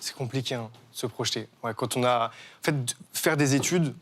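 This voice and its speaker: A man's voice: mid-range voice, brisk, a bit bright. A young man, guest on a TV talk show, explaining his charity with many fillers and restarts. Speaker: guest, association co-founder. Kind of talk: TV studio interview.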